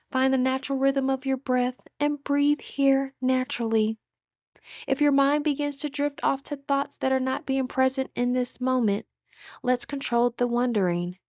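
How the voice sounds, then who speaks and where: A woman leading a guided meditation in a measured, instructive way, with drawn-out phrases and audible breaths. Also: high-pitched, steady tone, a little mellow, dry recording, studio quality.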